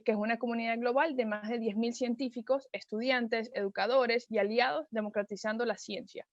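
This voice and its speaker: A woman's voice: a little high, no echo. Woman moderator reading a bio, dull dry audio. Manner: steady, reads a prepared bio. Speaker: webinar moderator. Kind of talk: reading a guest introduction. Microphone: video call.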